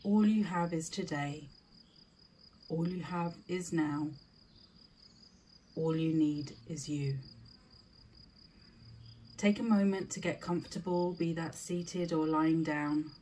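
A woman leading a scripted guided meditation in a soothing, deliberate manner, with short spaced phrases. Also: deep voice, warm tone, slight background noise.

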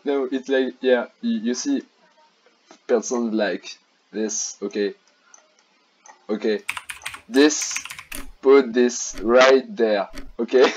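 A young man joking with friends on a voice call during a drawing game. He talks slowly and hesitantly, and clear background noise runs under his voice. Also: medium pitch, steady tone, darkish tone, muffled recording. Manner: joking, hesitant when explaining. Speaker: player on the call. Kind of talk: casual gaming chat on a voice call.

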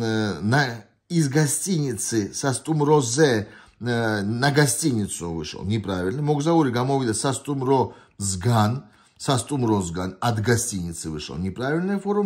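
Male tutor, mid pitch, very lively intonation, hesitant, self-correcting. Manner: patient, thinks aloud with hesitations and self-corrections. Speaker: language tutor. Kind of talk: explaining test answers while reading a printed sheet. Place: indoors at a desk. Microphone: phone camera pointed down at a sheet held in hand.

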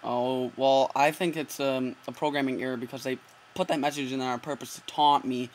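A man's voice: medium pitch, fairly even intonation, a little fast. A teenage male making a casual prank call, keeping a straight, earnest delivery, picked up by a laptop mic. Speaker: prank caller. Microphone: laptop mic, close. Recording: noisy laptop microphone recording.

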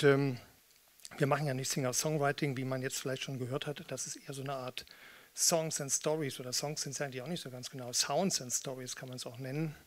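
A man in his sixties from eastern Germany introducing pieces on stage as a casual storyteller, wry and halting, close on a microphone. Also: mid-range voice. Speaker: spoken-word performer and musician introducing pieces. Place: small theatre or cinema hall with red seats. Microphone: studio microphone on stand with pop filter, close.

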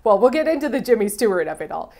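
Woman in her 30s, film co-host, giddy and gushing, bouncy pitch, small home room. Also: sing-song, fast talker, darkish tone. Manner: giddy, gushing, full of asides. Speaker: co-host of a film review show. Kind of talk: casual film review chat.

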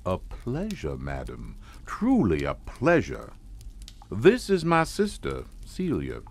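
Slow, deep Southern patriarch. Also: male voice, huge pitch swings, vocal fry, exaggerated voice. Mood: courtly. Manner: slow, drawling, folksy courtliness. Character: courtly, sinister old Southern plantation patriarch. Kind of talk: audio drama acting.

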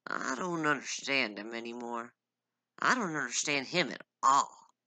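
Storybook: woman narrator doing a brooding, harsh husband, bright and close, nothing roomy. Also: mid-range voice, animated, unhurried, pitched below the performer's own voice. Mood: bleak. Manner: harsh, clipped, through gritted teeth. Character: bitter hot-tempered husband, harsh and brooding.

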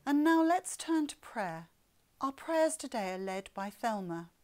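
A woman's voice: on the high side, big pitch swings, measured pace, a little mellow. A woman service leader announcing the parts of a church service.

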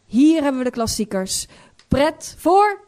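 A woman's voice: high voice, very animated, a little slow, warm tone. A woman presenting live as host of a cultural salon.

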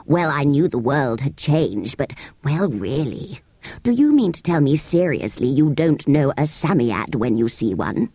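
A woman voicing a grumpy, haughty ancient furry sand fairy in a dry, husky, slow and pompous way for a storybook. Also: low-pitched, big pitch swings, dark tone, no echo, voice pushed down, exaggerated voice. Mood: sharp, scornful.

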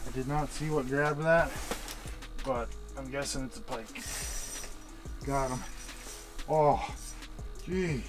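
A man vlogging his ice fishing trip in a shack on a frozen lake, excited and chatty, talking to the fish he sees on camera. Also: mid-range voice, takes it slowly, long pauses, music in the background. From Wisconsin. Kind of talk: casual outdoor fishing commentary.

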